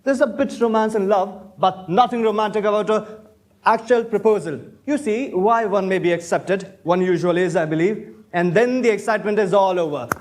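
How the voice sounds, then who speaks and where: A young man playing an idle, witty, pompous young Victorian gentleman in a stage comedy. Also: high voice, moderate pace, natural voice. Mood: witty, bossy. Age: young adult. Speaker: student actor in a stage play. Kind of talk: stage comedy of manners acting.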